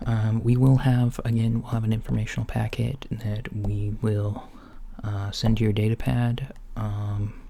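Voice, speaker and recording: Soft-spoken, slow, creaky ASMR man. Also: lowish voice, little pitch movement. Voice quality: soft, breath-light, often near whisper. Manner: hesitant, understated, casual bedside talk. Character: mild, matter-of-fact alien doctor at a checkup. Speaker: ASMR roleplay performer. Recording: lo-fi with background noise. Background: writing sounds, soft ambience.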